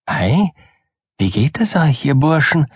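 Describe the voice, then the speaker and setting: A tiny, nimble magical little man from a children's storybook, acted out by a male narrator. The voice is raised high and swings brightly up and down. He sounds cheerful, friendly and chirpy, in a dry close recording. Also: big pitch swings, slow pace, slightly rough, dry recording, heavily acted. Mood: friendly, eager. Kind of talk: storybook character voice.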